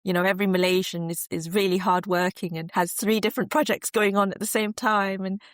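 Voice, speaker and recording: A woman answering interview questions as a researcher, thoughtful and measured with frequent fillers. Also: medium pitch, fairly even intonation, no echo, studio quality. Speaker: guest researcher.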